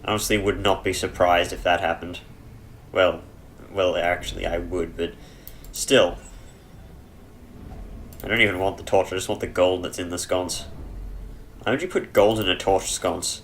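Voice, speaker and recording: Chesty low-voiced man narrating his game. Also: steady tone, moderate pace, long pauses, fairly bright tone, a little hiss or hum. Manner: rambling, self-correcting, dry humor. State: chesty, clearing his throat. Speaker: let's play gamer. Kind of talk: casual gameplay commentary. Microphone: headset.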